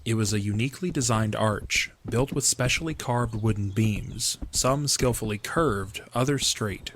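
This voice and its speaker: A man's voice: lowish voice, measured pace, fairly bright tone, slight background noise. A man reading scripted story narration as the narrator of an audio drama.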